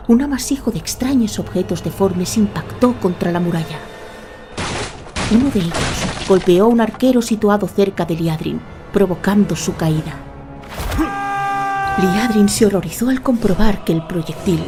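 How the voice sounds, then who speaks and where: Spanish woman narrating over prominent music. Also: mid-range voice. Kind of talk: scripted dramatic fantasy audiobook-style narration. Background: music under the narration.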